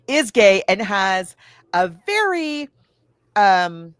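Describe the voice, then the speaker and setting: A woman podcast host chatting casually in an enthusiastic, halting way as she recaps. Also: mid-range voice, big pitch swings, clean tone, no echo, clean studio sound. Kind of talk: casual chat recapping a film.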